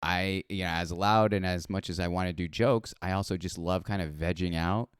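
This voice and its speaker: An American man hosts a relaxed interview, talking in loose, chatty runs that often restart mid-thought. His voice is smooth and resonant. The sound is dry and close, with no room behind it. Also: low-pitched, moderate pace, dry recording. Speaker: podcast host. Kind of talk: casual interview chat.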